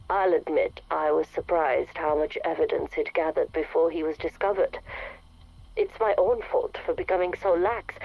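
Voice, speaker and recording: An actress playing a cold, sinister scientist in a game, speaking in a composed, formal, detached way. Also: female voice, low voice, no room sound. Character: cold, clinical, sinister female scientist villain. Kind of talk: dramatic game voice acting, measured dictation. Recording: dull radio-like audio log.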